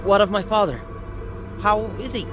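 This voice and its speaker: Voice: medium pitch, animated, normal speed, over music, natural voice. An actor playing an unhinged young lad in a video game, hysterical and manic.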